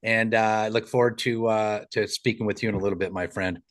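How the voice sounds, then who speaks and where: A man hosts a live podcast call, friendly and encouraging as he introduces guests. He keeps the talk moving and builds excitement. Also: on the low side, a little fast. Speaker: podcast host. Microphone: remote call.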